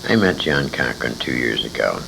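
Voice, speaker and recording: A man with a gravelly voice reminiscing in an informal interview, earnest and emphatic in the way he talks. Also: rumbling low, expressive, moderate pace. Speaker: interviewee, former radio show performer. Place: old-time radio convention. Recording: noisy amateur convention tape recording.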